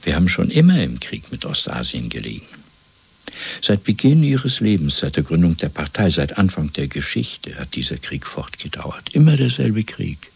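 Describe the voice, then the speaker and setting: Radio-play torturer, male: low, gravelly, whispery, patronising Party fanatic with big swings between soft and commanding. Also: sing-song, normal speed, exaggerated voice. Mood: insistent. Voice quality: husky, gravelly, often dropping to a whisper. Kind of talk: 1970s German radio play acting.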